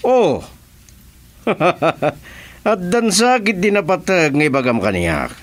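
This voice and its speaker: A commanding crime boss played by a man in a radio drama. He speaks slowly and deliberately, and his pitch swings widely as he addresses people. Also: big pitch swings, heavily acted. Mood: chummy.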